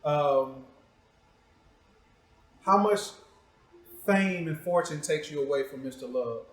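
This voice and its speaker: In a noticeably echoey room, a man with a fairly high voice hosts a casual interview. He talks informally, with slang and a teasing, joking streak. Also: slow pace. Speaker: talk show host.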